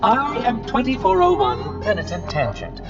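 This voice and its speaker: A prim robot monitor from a video game chatters with fussy precision and extremely swooping intonation. A robotic filter colors the voice, which sounds a little distant and dulled, with music behind. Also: male voice, high voice, very animated, moderate pace, lo-fi sound. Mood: prim, indignant. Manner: precise, prissy, singsong. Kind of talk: theatrical video game voice acting.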